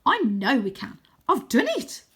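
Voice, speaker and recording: A woman voicing an excitable, boastful boy who is eager about magic in a storybook reading. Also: fairly high pitch, a little slow, husky, voice pushed up, exaggerated voice. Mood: confident. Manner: eager, exclaiming.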